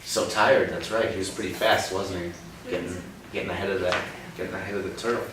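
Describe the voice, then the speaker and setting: A man with a slightly low voice reads a children's story aloud, stopping often to coax answers from his young listeners. He sounds patient and encouraging. The recording comes from across a very echoey room, with a noticeable hiss of background noise. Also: level tone, fairly quick, recorded from a distance. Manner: patient, coaxing, checks in with "right?". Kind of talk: picture-book read-aloud with questions.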